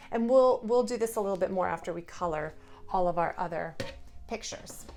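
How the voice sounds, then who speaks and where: A woman's voice: lowish voice, a little fast, darkish tone. A woman preschool teacher giving a hands-on, instructive lesson to young children, in simple words. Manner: instructive, asks the listener simple questions. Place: indoors at a table, drawing on paper cards.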